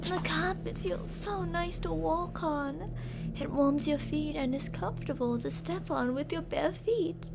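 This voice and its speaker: A woman's voice: high voice, a little slow, creaky, loud music behind the voice. A woman playing an obsessive yandere captor in an ASMR roleplay, sugary with endearments yet menacing and theatrical. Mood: softly menacing.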